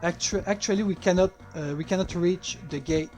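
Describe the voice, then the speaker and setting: A native French-speaking man with a French accent gives casual, halting playthrough commentary as a game developer, hesitating in non-native English. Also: high-pitched, darkish tone, soft background music.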